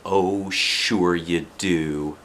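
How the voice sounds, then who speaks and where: A man's voice: low-pitched, fairly even intonation, drawn-out words, fairly bright tone, voice pushed down, exaggerated voice. A man voicing a coaxing, reassuring mother of a kindergartner in a children's storybook.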